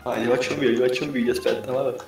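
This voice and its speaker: A man's voice: medium pitch, level tone, racing, echoey room, over loud music, rough recording. A man improvising online roleplay banter, insistent and repeating his phrases. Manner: repetitive, insistent. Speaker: player in a roleplay game.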